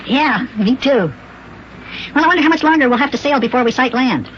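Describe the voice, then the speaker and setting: A man voicing an excitable, cheerful little elf boy with a squeaky child-like voice in an old children's radio serial. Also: brisk. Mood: excited. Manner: eager, repeats himself. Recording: noisy, muffled old radio recording.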